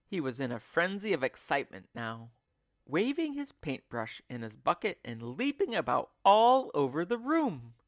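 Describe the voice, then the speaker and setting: A man reading a children's story aloud like a teacher, with expressive, deliberate storytelling. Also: on the high side, dry recording, clean studio sound. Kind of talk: children's storybook narration, scripted read-along.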